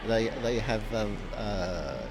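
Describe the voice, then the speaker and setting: A man hosts a radio interview in an easy, relaxed way, often pausing on uh fillers. There's clear background noise on the recording. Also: lowish voice. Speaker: radio host.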